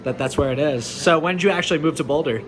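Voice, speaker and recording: A man's voice: fairly high pitch, quick pace, music in the background. A man interviewing a restaurant owner in a casual, upbeat and friendly way. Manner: upbeat and friendly, often saying "that's awesome". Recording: clear crowd chatter behind.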